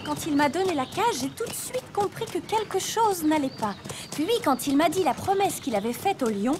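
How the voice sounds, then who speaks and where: A woman playing a sweet, devoted young maiden in an animated fairy tale, speaking earnestly and gently but with resolve. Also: sits high, moderate pace, background noise. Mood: resolute. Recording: music behind the voice.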